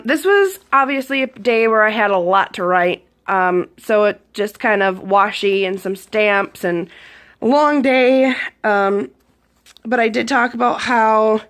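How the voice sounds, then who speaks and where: A hoarse woman, around her thirties, chatting casually with a lot of ums while showing her journal pages. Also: medium pitch. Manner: rambling, chatty, with frequent ums. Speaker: YouTube vlogger. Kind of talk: casual journal flip-through.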